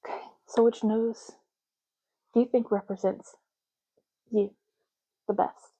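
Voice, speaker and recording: A woman doing a soft-spoken ASMR roleplay as a clerk, explaining options in a casual, chatty way. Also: fairly high pitch, level tone, slow pace, poor audio. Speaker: ASMR creator playing a clerk making ID cards.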